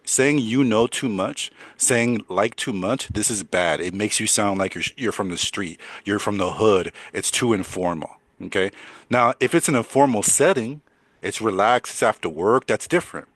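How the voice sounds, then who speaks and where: An older man with a deep voice teaching English in a chatty way, repeating words and laughing often. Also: moderate pace, a bit bright, no room sound. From United States. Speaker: English coach. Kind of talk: casual teaching conversation.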